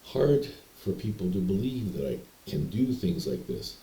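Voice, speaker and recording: A man's voice: sits low, very animated, a little slow, warm tone. A young man from Canada telling a rambling personal story to camera, hesitating and restarting often. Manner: meandering, hesitant, repeats words and restarts. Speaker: vlogger telling personal stories. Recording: echoey room.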